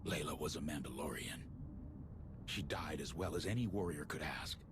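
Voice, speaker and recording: A man playing a wise, solemn Mandalorian warrior in a video game, speaking gravely and formally. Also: extremely low pitch, normal speed, noisy background. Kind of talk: video game cutscene acting.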